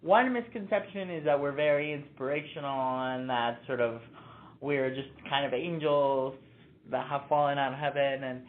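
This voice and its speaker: A man's voice: mid-range voice, steady tone, normal speed, a little hiss or hum. A young man raised in Mexico answering interview questions earnestly and thoughtfully, with many fillers and restarts. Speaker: interview guest, nonprofit founder. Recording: tinny phone-like line.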